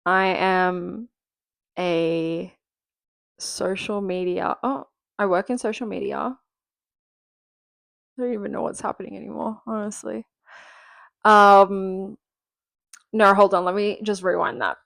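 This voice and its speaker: A woman's voice: mid-range voice, fairly even intonation, takes it slowly, vocal fry, pauses often, fairly bright tone, no room sound. A woman in her twenties hosting a casual solo podcast, chatty and rambling with lots of fillers, a bit nasal from being sick, recorded at home. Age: 20s. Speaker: podcast host.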